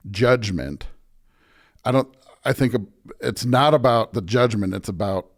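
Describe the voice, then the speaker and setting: A man talking as a pastor in an interview, earnest and emphatic, often building his points through repeated phrases. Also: on the low side. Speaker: pastor, podcast guest. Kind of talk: conversational interview talk with preacherly stretches.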